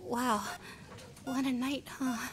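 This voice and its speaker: A woman playing a friendly, expressive young woman in an amateur radio play. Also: high-pitched, slow, background noise. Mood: shaky, friendly.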